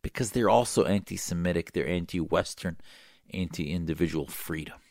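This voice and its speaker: A man with a low, gravelly voice delivers political talk-show commentary. He sounds emphatic and combative, with sarcastic jabs. The recording is dry and close. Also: moderate pace, a little mellow, no room sound.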